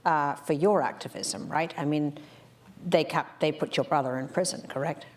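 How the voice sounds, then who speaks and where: A woman moderates a panel with brisk questions. She often stumbles into repeated restarts. Her voice is very low for a woman. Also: a little hiss or hum. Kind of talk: panel interview.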